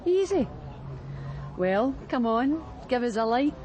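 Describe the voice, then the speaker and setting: An actress playing a brash, streetwise Glasgow streetwalker in a radio drama, breezy and frank, with a Glaswegian accent. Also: female voice, fairly high pitch, big pitch swings, slow, warm tone. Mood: matter-of-fact. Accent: Glaswegian Scottish. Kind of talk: naturalistic radio drama acting. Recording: faint urban street noise.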